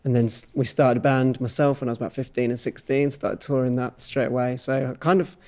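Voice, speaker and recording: A man's voice: medium pitch, fairly even intonation, brisk, a little mellow. A man in his thirties, a touring musician, talking casually and reflectively in an interview. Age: 30s.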